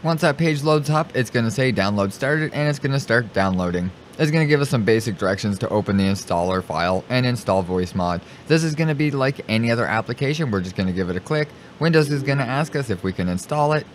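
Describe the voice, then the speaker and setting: A man with a mid-pitched voice walks through a software tutorial step by step. He speaks informally and sometimes repeats himself as he narrates his clicks. There is clear noise in the recording. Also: animated, fast talker, hardly any pauses. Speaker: streamer giving a software tutorial. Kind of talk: casual step-by-step screen tutorial. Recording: clearly noisy home recording.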